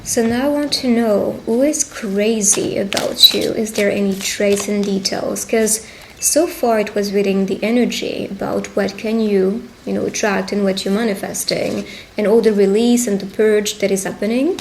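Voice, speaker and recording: A woman speaking directly to the listener as a tarot reader in a witch roleplay, pausing as she goes. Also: medium pitch, steady tone, rough recording. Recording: clear background ambient noise.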